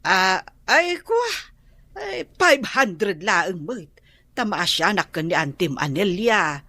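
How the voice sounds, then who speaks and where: A generous village mother in a radio play who cannot say no to her neighbors. She speaks slowly and hesitantly, with a mid-pitched voice that lifts and falls a lot, and is heard close and dry. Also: big pitch swings, dry recording, exaggerated voice. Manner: soft-spoken, hesitant and drawn-out. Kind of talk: radio drama acting.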